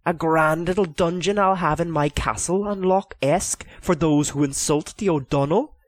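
A man's voice: a little high, normal speed, dry recording, pitched above the performer's own voice, close to an everyday voice. A man reading aloud voices a proud, dreamy young noble boy. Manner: earnest, dreamy.

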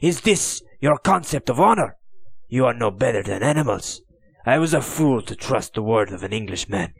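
A man's voice: mid-range voice, animated, normal speed, dry recording, pitched above the performer's own voice. A man reading an audiobook, voicing a proud, desperate Spanish colonel held prisoner, with defiant, anguished pleading. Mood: outraged.

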